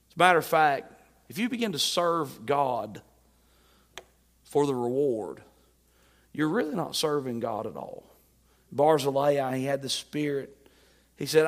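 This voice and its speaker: A man preaching a sermon, reading scripture and retelling it in plain, emphatic words. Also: medium pitch. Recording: long hall reverb.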